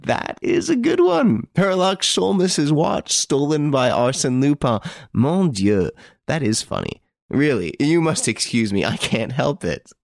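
A man voicing an excitable, easily astonished French gentleman in an audiobook, exclamatory and emphatic. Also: mid-range voice, gravelly, no echo, clean studio sound, pitched above the performer's own voice, exaggerated voice.

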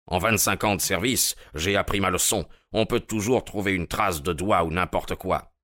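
A man's voice: deep voice, moderate pace, fairly bright tone, dry recording, clean studio sound. A man voicing a bustling, pompous veteran police inspector in an audiobook, self-satisfied in tone. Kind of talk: audiobook character reading.

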